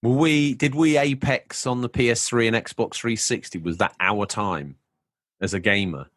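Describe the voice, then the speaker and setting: A man's voice: mid-range voice, normal speed, a bit bright, no room sound, studio quality. A man co-hosting a casual podcast chat, wry and full of rhetorical questions. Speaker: podcast co-host.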